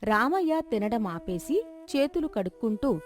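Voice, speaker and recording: A woman narrates a scripted folk tale as its storyteller. Her voice sits a little higher than average, and music plays underneath. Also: big pitch swings, a little slow.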